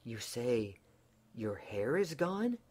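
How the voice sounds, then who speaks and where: A man's voice: medium pitch, sing-song, darkish tone, husky, exaggerated voice. A man voicing a dazed, earnest young husband in a storybook tale. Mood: dazed disbelief. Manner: slow, disbelieving, earnest. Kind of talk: storybook character voice.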